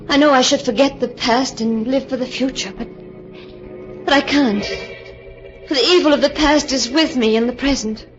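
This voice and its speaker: A woman's voice: fairly high pitch, close to an everyday voice. An actress playing a distraught young woman torn between love and hatred, in an intense, theatrical 1940s radio melodrama style. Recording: dull 1940s radio recording with music.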